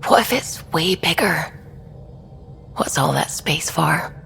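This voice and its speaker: A woman playing a thoughtful, devout scientist on a space expedition, explaining things in a measured, reflective way in video game dialogue. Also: sits low, animated, vocal fry, a bit bright, soft background music, heavily acted. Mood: thoughtful, curious. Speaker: science officer character.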